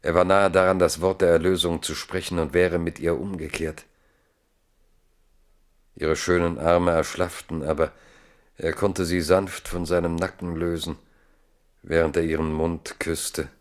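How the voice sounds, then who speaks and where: Low male narrator for an old literary radio play, grave, deliberate, dramatic. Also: little pitch movement.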